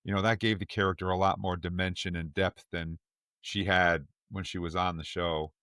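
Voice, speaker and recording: A man hosts a podcast discussion in a conversational style. His voice is a little on the low side. The recording is close and dry, without echo. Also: flat delivery, moderate pace, sharp tone, clear, resonant tone. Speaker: podcast host. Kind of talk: casual discussion.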